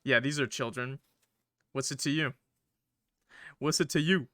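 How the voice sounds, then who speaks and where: A man's voice: medium pitch, measured pace, sharp tone, no room sound, clean studio sound. A man streaming a game with casual, jokey, slangy commentary. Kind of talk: casual commentary mixed with read-aloud narration.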